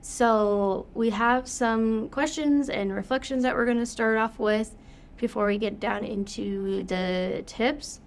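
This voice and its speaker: A woman's voice: a little high, steady tone, takes it slowly, slight background noise. A young woman co-hosting a podcast, talking in a casual, upbeat way while she has a cold. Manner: upbeat, thinking aloud with fillers. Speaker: podcast co-host, yoga teacher.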